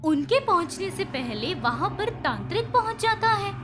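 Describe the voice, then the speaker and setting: A woman tells a children's story as its narrator. Her voice is very high and moves animatedly in pitch. Music plays clearly behind the scripted narration. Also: sing-song, normal speed.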